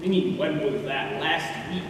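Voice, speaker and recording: A man's voice: a little high, unhurried, lightly acted. A young man playing a sardonic, bantering friend in a stage comedy. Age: teenager. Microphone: distant camera. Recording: echoey hall from far off, faint noise.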